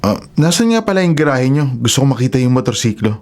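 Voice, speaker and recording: A man's voice: medium pitch, big pitch swings, fast, darkish tone. A man playing a teenage schoolboy classmate in a radio horror drama. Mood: friendly, impressed. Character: teenage male classmate, friendly, then frightened. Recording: dramatic music underneath.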